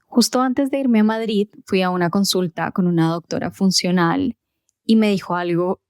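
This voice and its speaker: A woman's voice: medium pitch, moderate pace, a little mellow, clean tone, dry recording, studio quality. A woman, hosting her own podcast and telling her personal story in an earnest, conversational way. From Colombia. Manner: conversational, earnest, with small fillers. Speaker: podcast host and health coach.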